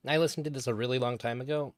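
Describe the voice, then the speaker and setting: A man casually commenting on an old stream. He calls his own voice higher-pitched, rambles, and swears now and then. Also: no room sound, studio quality.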